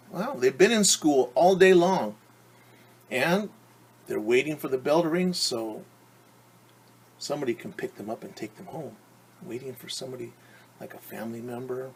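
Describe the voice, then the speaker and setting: Slightly husky man reading to preschoolers. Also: medium pitch. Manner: simple, instructive phrasing addressed to small children. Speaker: preschool teacher. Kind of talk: read-aloud storytime for young children, with explanations.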